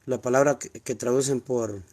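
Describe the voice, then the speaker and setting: A man with a Honduran Spanish accent walks listeners through scripture in a casual teaching tone. His voice sits at a middle pitch. He keeps repeating key words and asking rhetorical questions as he explains, sometimes drifting away from the mic. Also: fairly even intonation, moderate pace, darkish tone. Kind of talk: informal Bible teaching, screen walkthrough.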